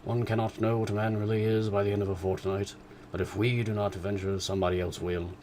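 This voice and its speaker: A man doing a dry, teasing old gentleman. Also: on the low side, flat delivery, fast, a little hiss or hum, lightly acted. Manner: dry, ironic. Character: dry, teasing older gentleman, sardonic father.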